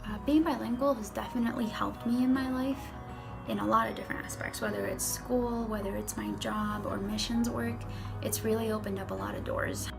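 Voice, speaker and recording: A woman's voice: fairly high pitch, fairly even intonation, a little fast, creaky. A young woman from Chile in an interview, chatting easily with likes and ums. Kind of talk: casual recorded interview. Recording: music playing underneath.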